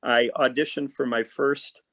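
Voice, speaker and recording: A talkative man with a medium-pitched voice speaks as a guest actor on a podcast. He explains his work in an orderly, conversational way. The sound is dry, with very little room in it. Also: fairly even intonation, measured pace, a bit bright, no room sound. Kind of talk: casual conversation about work.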